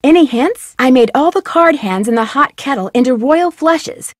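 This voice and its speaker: A curious, upbeat young girl detective in a point-and-click mystery game. Her voice sits fairly high and swings up and down as she muses over clues and asks for hints. The studio recording is close and dry. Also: expressive, normal speed, dry recording. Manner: inquisitive, chatty, asks for hints. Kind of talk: studio video game voice acting.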